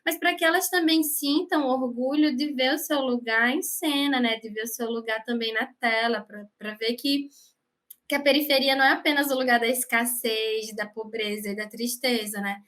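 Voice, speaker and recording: A woman's voice: fairly high pitch, fairly even intonation, normal speed, a bit bright. A woman with an Alagoas accent, a filmmaker guest speaking earnestly in a podcast conversation, often tagging her sentences with questions. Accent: Alagoas, Brazil. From Brazil. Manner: earnest, frequent tag questions.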